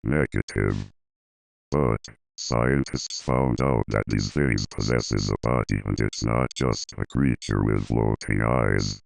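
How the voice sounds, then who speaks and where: A man voicing an emotionless, obedient laboratory supercomputer in stiff, monotone, clipped phrases for an audio drama. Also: a bass voice, takes it slowly, vocal fry, no echo, full character voice. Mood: flat, obedient. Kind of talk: scripted robotic announcement.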